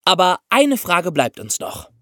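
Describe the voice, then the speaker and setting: A man with a fairly high voice and very varied intonation co-hosts a podcast. He explains things step by step for young listeners, in a dry, close recording. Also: sing-song, a little slow, a bit bright, no echo, faint music behind. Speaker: podcast co-host explaining law.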